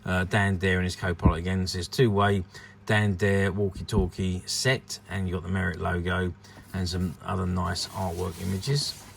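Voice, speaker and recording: A man giving an unscripted showcase commentary on a collectable, with a hesitant, halting delivery. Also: sits low, level tone, gravelly, a little hiss or hum. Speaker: vintage toy collector presenting an item.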